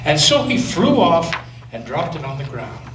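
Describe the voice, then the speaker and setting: A male pastor reads a children's story aloud with a lively, lilting voice that rises and falls. He sits a little back from the microphone, so his voice sounds distant. The church around him adds a strong echo. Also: on the high side, faint music behind. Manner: gentle, lively storytelling with slow dramatic pauses. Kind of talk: reading a picture book aloud to children. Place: church sanctuary. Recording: echoey church from a distance, faint noise.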